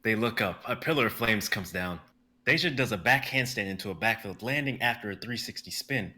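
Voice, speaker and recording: A young man reading scene directions aloud for a live drama stream, in a brisk and vivid way. His voice is low and bright, and now and then he stumbles over a word. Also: animated. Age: young adult. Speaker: narrator reading stage directions.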